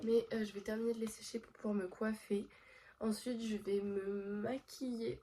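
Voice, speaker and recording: Woman, stuffy nose from a cold, casual vlog chat, mellow tone, lots of fillers, home bedroom. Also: medium pitch, flat delivery, normal speed, a little hiss or hum. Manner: chatty, talks straight to viewers with many fillers. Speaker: vlogger.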